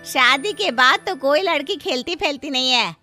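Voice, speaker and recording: A woman's voice: sits high, animated, moderate pace, sharp tone, faint music behind. A woman playing a disapproving, nagging older mother-in-law in a moral story. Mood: suspicious, mocking. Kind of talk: Hindi moral-story acting.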